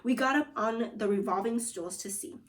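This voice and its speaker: A woman teacher reading a children's story aloud and guiding pupils, expressive and instructive with deliberate phrasing. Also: a little high, recorded from a distance. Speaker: second grade teacher.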